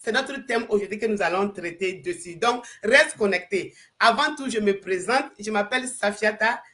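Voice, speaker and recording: A woman's voice: medium pitch, normal speed, fairly bright tone. A woman from Burkina Faso hosts a live online chat, hesitating and restarting her phrases. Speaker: livestream host.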